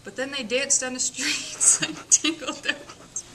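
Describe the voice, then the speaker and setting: A woman, a student, giving an expressive amateur dramatic reading of a novel that builds to emphatic passages. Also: high-pitched, measured pace. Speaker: student reader.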